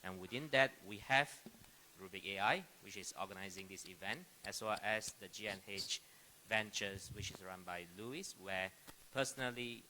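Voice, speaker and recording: A middle-aged man from Malaysia introduces himself as a panelist. His voice sits fairly low but is very bright and sharp. He speaks haltingly, and there is light noise behind him. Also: low-quality recording. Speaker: panelist, academic and AI company founder. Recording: live stage microphone with clear background noise.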